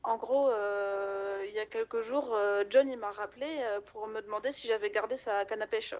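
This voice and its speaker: A woman telling stories casually in an online roleplay, chatty, slangy and halting, over game voice chat. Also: mid-range voice, fairly even intonation, muffled recording. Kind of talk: casual in-character storytelling.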